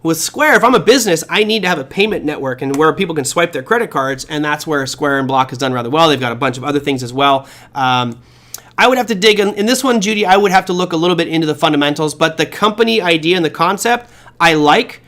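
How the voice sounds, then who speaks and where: A man's voice: a little high, lively intonation, quick pace, sharp tone. A man hosting a live trading show, answering viewer questions in a chatty, rambling, self-deprecating way.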